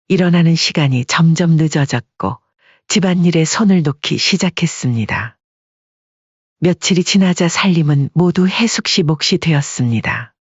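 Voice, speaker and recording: A husky-voiced narrator with a medium pitch delivers scripted story narration. The recording is very dry and a little dull. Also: dry recording.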